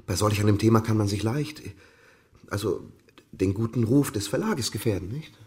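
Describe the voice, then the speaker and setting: A nervous, slight publishing assistant in a radio crime play. His voice is husky and fairly low, jumping about in pitch as he stammers and apologises deferentially. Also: animated, normal speed, warm tone. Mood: nervous, defensive. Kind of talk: radio crime drama acting.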